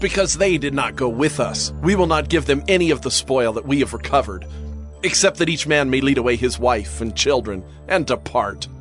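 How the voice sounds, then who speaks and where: A man's voice: mid-range voice, lively intonation, normal speed, close to an everyday voice. Guy doing a spiteful selfish soldier, bible drama, mid-range voice, grudging, light music under. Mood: grudging, scornful. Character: wicked, selfish fighting man, spiteful and grudging. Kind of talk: dramatized scripture audio acting.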